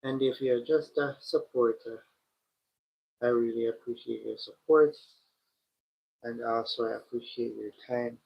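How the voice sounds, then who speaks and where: A man hosting a live stream, talking slowly and directly to his chat, his voice congested and stuffy from a cold. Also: medium pitch, steady tone, gravelly. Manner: slow, repetitive, addresses the chat directly. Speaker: livestream host. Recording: dull lo-fi stream audio.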